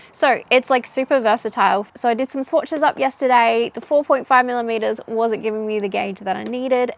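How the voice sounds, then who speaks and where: A woman's voice: fairly high pitch, creaky. A woman with an Australian accent, chatting casually and bubbly about knitting as a vlogger, recorded outdoors on a camera mic. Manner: chatty and bubbly, laughs often. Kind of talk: casual chat. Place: outdoors in a garden.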